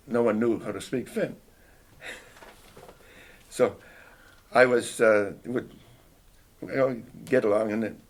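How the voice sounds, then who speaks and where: An older man whose first language is Finnish, with a low, gravelly voice. He answers an interviewer slowly and thoughtfully, often restarting sentences and clearing his throat as he searches his memory. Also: steady tone. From Canada. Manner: hesitant, reflective, frequent restarts and throat clearing. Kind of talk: oral history interview.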